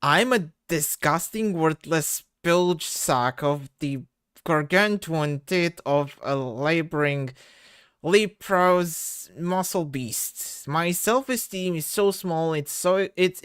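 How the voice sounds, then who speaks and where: Loud fast-ranting young troll guy, foul-mouthed, harsh, a woman reading him aloud, dry close sound. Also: low-pitched, clean tone, no echo. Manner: loud, rapid, self-loathing ranting. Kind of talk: reading webcomic dialogue aloud.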